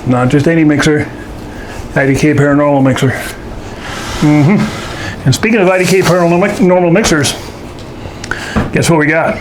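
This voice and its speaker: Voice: mid-range voice, normal speed, long pauses, noisy background. A folksy, jokey host casually talking through a baking demonstration to camera. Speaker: host of a paranormal show doing a baking segment.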